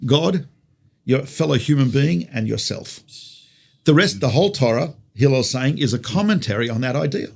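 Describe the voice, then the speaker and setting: A man's voice: mid-range voice, animated, normal speed, vocal fry, darkish tone. A man with an Australian accent, a rabbi teaching conversationally through stories and rhetorical questions. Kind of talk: conversational religious teaching with storytelling.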